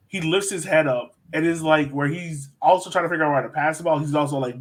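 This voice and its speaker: An American man hosting a sports podcast, talking casually and with enthusiasm as he breaks down soccer. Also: a little high. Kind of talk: casual soccer analysis chat.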